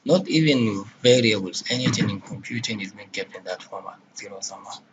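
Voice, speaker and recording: A man's voice: fairly low pitch, a little fast, lo-fi sound, noisy background. A man with a Nigerian accent teaching a programming class, asking questions and checking in with "okay?". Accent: Nigerian English. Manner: checks in with repeated "okay?", asks and answers questions. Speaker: lecturer. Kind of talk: classroom lecture.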